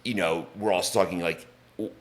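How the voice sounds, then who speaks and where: Brief: very low-voiced male guest, grew up in England, easy candid talker. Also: fairly even intonation, brisk. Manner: thoughtful, candid, with frequent fillers. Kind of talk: casual interview conversation. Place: home in Bozeman.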